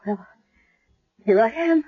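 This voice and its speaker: An actress playing a young amnesiac woman, unsure and fearful, with halting delivery in radio drama style. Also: on the high side, lively intonation, husky, full character voice. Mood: hesitant, guarded. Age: young adult. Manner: hesitant, halting. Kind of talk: 1970s radio drama acting. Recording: dull vintage radio recording.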